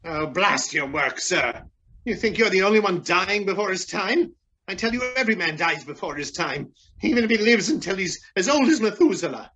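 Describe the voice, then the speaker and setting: A man's voice: sits high, expressive, moderate pace, bright, forceful tone, heavily acted. An actor playing a cantankerous, frightened old man in a radio drama, blustering and scolding as he cries out. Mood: grumpy, scornful. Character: cantankerous old man trapped in a flooding mine, terrified of dying. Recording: very noisy track with clinks and clatter.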